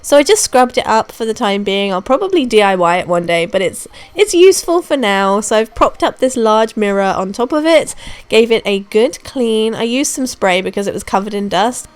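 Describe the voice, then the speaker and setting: A young woman with a hoarse voice from a throat infection, narrating her home renovation vlog in a chatty, relaxed way. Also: fairly high pitch, moderate pace. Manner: chatty, relaxed, self-deprecating. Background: soft background music.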